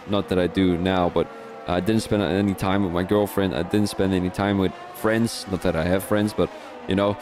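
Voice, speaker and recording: A man's voice: fairly low pitch, background noise. A young Dutch man with a Dutch accent, commentating a sim racing league in a chatty, rambling way with many restarts. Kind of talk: live race commentary mixed with casual chat.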